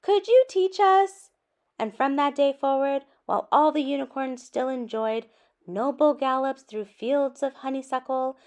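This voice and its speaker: A woman reading a children's story aloud in an expressive way, with animated asides addressed to young listeners. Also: high voice. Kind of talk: expressive picture-book read-aloud.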